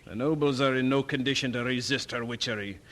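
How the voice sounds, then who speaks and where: An actor playing a stern, proud Scottish preacher in a radio drama, speaking with a Scottish accent. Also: male voice, medium pitch, quick pace, fairly bright tone, lightly acted. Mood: accusing.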